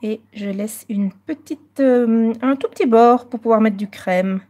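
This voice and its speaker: A Belgian woman hosting a live coloring stream, chatting casually and moving between French and slightly halting English. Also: a little high, mellow.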